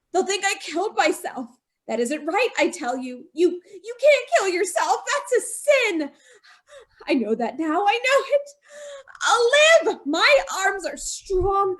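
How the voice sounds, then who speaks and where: A very high, lively voice swings between whispers and cries as a woman plays a desperate young wife in an old-time radio drama. She pleads slowly and sobs, fighting for breath. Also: full character voice. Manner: panicked, pleading, sobbing. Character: terrified young wife, noose around her neck. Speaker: radio actor.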